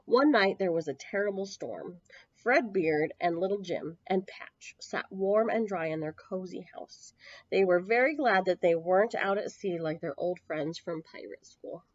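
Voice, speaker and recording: A woman storyteller reading a picture book to young children, with expressive emphasis and drawn-out words. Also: fairly low pitch, no room sound. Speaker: children's storyteller at a public library. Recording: dull lo-fi, close dry sound.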